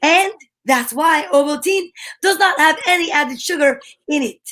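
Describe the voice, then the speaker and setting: A woman playing an upbeat radio announcer, reading a chatty, emphatic sponsor pitch in an old-time show style. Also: unhurried, fairly bright tone. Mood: bright, selling.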